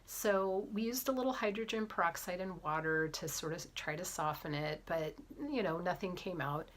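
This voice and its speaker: A middle-aged woman with a cold tells a story straight to camera in a chatty, animated way. Her voice sits slightly low, and she often slips into soft, breathy whispered asides. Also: moderate pace. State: has a cold. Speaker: vlogger, physician assistant and fitness coach.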